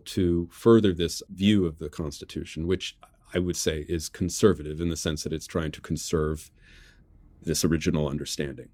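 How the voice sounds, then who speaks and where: Male interview guest, notably deep voice, very dry sound, measured explainer of political ideas. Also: dry recording. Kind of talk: analytical political conversation.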